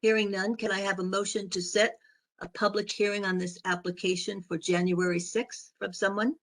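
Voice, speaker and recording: A woman with a hoarse, raspy voice chairing a board meeting, talking haltingly with many fillers. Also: medium pitch, flat delivery, poor audio.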